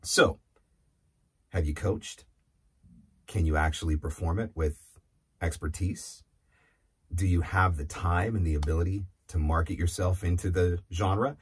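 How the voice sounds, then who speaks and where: A man's voice: sits low, fairly even intonation, a little slow, long pauses, slightly rough. A man in his forties giving conversational, emphatic instructional advice, often posing rhetorical questions like a coach. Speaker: voiceover marketing coach. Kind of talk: instructional talk to camera. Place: small indoor studio or office. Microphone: lapel mic.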